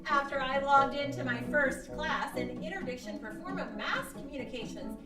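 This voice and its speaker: A woman's voice: high-pitched, moderate pace, natural voice. A woman playing an earnest, satisfied testimonial speaker in a scripted radio show. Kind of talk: old-time radio scripted acting. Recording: echoey stage, distant mic, music underneath.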